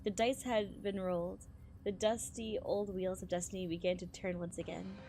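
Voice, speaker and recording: A woman streaming a visual novel, reading it aloud in a halting, giggly way with stumbles over words. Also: mid-range voice, fairly even intonation, warm tone, soft background music. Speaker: game streamer.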